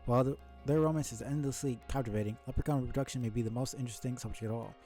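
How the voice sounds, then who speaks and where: A man's voice: mid-range voice, very fast, warm tone, faint music behind, natural voice. A man voicing an eager, long-winded webcomic character who lectures in a wordy, earnest, pedantic way. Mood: earnest lecturing. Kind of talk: webcomic character read-aloud.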